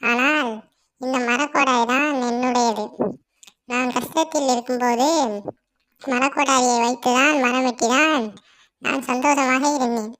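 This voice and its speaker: A woman's voice: sits high, steady tone, unhurried, pauses often, fairly bright tone. A woman voicing an honest, hardworking little sparrow in a children's cartoon story, wailing and pleading. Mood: earnest.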